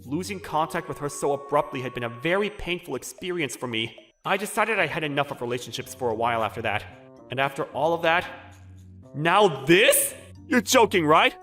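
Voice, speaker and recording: A man's voice: fairly high pitch, expressive, fairly quick, bright, forceful tone. A man playing a flustered, jilted bachelor who narrates his awkward romance with dramatic, exasperated inner monologue in a manga dub. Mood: incredulous. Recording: background music under the voice.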